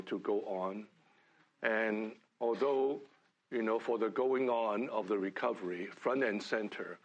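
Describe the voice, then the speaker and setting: An older man from Hong Kong giving a halting sermon to a church gathering, full of fillers and restarts. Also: on the low side, dull audio. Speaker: church speaker.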